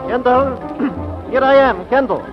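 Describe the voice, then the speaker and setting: An actor playing a courtly, melodramatic foreign gallant in a 1940s radio comedy. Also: male voice, extremely high pitch, a little slow. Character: suave, gallant, theatrically devoted foreign gigolo. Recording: dull vintage radio recording, music behind.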